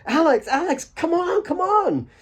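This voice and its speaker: A man voicing an excited, eager young male footballer, urgent and exclamatory, in an audiobook. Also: very high-pitched, a little slow, pitched above the performer's own voice, heavily acted.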